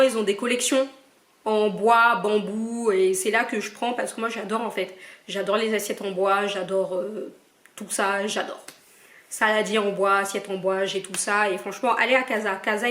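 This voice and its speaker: A woman's voice: a little high, fairly bright tone. A young woman from Cameroon, chatting casually as she answers her viewers' questions in a playful, teasing way. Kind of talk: casual Q&A vlog. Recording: echoey room.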